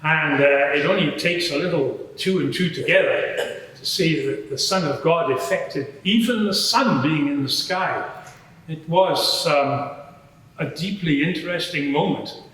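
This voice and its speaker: A man playing a matter-of-fact Roman centurion giving hesitant, measured testimony as a witness at an inquiry. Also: on the high side, fairly bright tone. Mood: earnest, unsettled. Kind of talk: semi-improvised courtroom testimony. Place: large reverberant courtroom. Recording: very echoey hall, distant mic.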